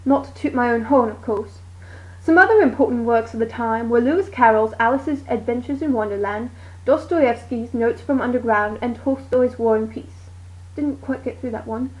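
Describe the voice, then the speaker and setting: A woman's voice: a little high, normal speed, muffled recording, close to an everyday voice. A woman playing a jaunty, boastful Victorian English male novelist in a scripted puppet show. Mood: jaunty, self-satisfied.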